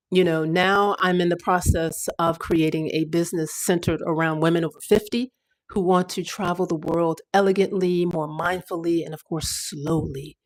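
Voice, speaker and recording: A woman's voice: lowish voice, poor audio. A woman in her fifties hosting a casual livestream, talking in a chatty, rambling way with fillers and restarts. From United States. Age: 50s. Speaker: livestream host.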